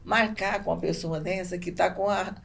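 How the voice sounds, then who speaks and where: A woman's voice: on the low side, steady tone, normal speed, vocal fry. A Brazilian woman business owner answering casually as a podcast guest, often repeating and rephrasing herself.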